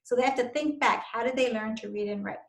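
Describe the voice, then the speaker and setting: A woman's voice: fairly high pitch, fairly even intonation, quick pace. Earnest woman lecturing in an echoey room. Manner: earnest, encouraging teaching tone with fillers. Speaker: university instructor presenting to teachers. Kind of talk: recorded lecture over slides.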